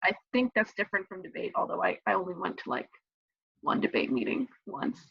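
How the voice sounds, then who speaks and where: A young woman in college talking casually about mock trial on a video call, animated and playful. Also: fairly low pitch, fairly quick, vocal fry, breathy edge, no echo. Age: young adult. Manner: animated, acts out quotes in funny voices. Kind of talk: casual Zoom chat. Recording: muffled video call audio.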